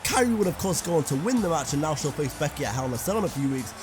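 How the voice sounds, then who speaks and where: A young man with a fairly high, slightly raspy voice calls a wrestling video game match play by play. He gets excitable and breaks into shouts at big moments. Loud music plays behind him throughout. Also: very fast. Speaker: gaming commentator.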